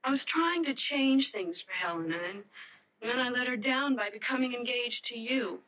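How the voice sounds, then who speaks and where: An actress playing an anxious young fiancée in a radio mystery, hesitant, earnest and pleading. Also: female voice, fairly high pitch, moderate pace, sharp tone, low-quality recording. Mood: uneasy, hesitant. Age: young adult. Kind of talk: radio mystery drama acting.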